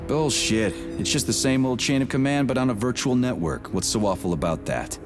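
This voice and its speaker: A man's voice: mid-range voice, a little fast, natural voice. A man acting a jaded, sarcastic Soviet agent in a video game, blunt and foul-mouthed. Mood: skeptical. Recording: loud music under the voice.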